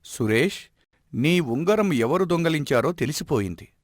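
Wise village elder for a moral cartoon, played by a man: slightly high, very animated pitch, measured counsel, dry studio sound. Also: no echo. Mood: assured. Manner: measured, advising, admonishing. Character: wise, respected village elder who settles disputes. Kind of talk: animated moral story acting.

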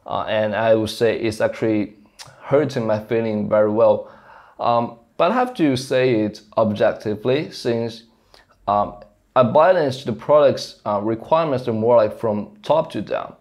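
A man's voice: fairly low pitch, darkish tone. A young man from China with a Mandarin Chinese accent, speaking English as a second language, explaining his work to camera in an earnest way with many fillers and restarts. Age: young adult. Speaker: software engineer vlogger. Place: small plain indoor room. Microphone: lapel mic, camera in front.

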